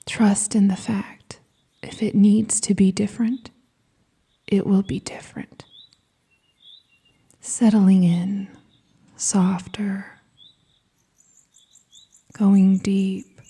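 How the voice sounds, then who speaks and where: A woman with a soft, breathy, whispery voice guiding a scripted meditation in a soothing, gentle manner with deliberate pauses. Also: medium pitch, level tone. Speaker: hypnosis and meditation guide.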